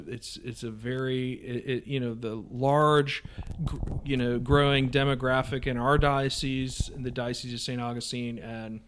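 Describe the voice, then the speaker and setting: A young man in an interview, talking earnestly at an ordinary pitch. He often pauses with um and you know while searching for words. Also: smooth. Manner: earnest, with many ums and you knows. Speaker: seminarian guest. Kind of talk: interview answers.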